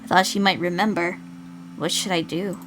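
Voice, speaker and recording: A woman's voice: lowish voice, fairly even intonation, normal speed, over music, close to an everyday voice, poor audio. A woman reading as a brooding, dependent young man narrating a visual novel, introspective and earnest. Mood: regretful.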